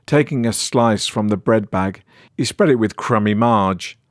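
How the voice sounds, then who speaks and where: A man's voice: on the low side, a little slow, no room sound. British man narrating, very dry sound. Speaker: story narrator. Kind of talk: scripted narration of a weird horror tale.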